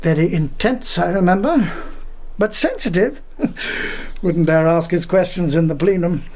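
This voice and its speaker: Chatty dodgy brit getting grilled, kinda high voice. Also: male voice, measured pace, darkish tone. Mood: evasive. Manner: chatty, evasive, British turns of phrase. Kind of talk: radio drama acting.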